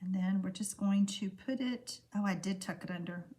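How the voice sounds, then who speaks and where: A middle-aged woman with a froggy, allergy-roughened voice, chatting casually with livestream viewers while demonstrating card making. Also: mid-range voice, moderate pace, dark tone.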